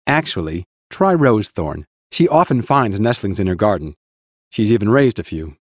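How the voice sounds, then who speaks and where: Practical mage mentor, lively intonation. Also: male voice, fairly low pitch, moderate pace, dry recording, clean studio sound. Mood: dry advising. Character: stern but kindly male mage teacher, patient, practical. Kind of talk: full-cast audiobook dialogue.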